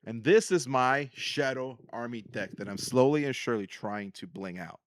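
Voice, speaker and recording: A man's voice: medium pitch, moderate pace, dry recording. A man hosting a podcast, casually recapping his hobby in a solo talk. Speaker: podcast host. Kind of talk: casual solo recap of card game decks and matches.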